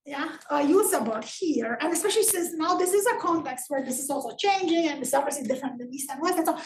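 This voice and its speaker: High-voiced woman, echoey and distant. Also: a little mellow. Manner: rambling, heavy use of "sort of" and "right" fillers. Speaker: invited speaker answering audience questions. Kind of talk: academic talk Q&A, unscripted. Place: lecture room. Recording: echoey room, voice from far off, faint noise.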